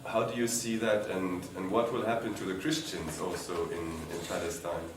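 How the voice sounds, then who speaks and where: Deep male voice, group spokesman, soft and brief, roomy echo, far off, hiss. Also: fairly even intonation, normal speed. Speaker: group spokesman asking questions. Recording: echoey room, far from mic, hiss.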